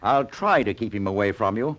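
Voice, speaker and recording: A man's voice: fairly low pitch, animated, a little fast, a bit bright, lo-fi sound. A man playing a concerned, fair-minded boss and peacemaker in an old-time radio drama, firm and earnest. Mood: stern, worried.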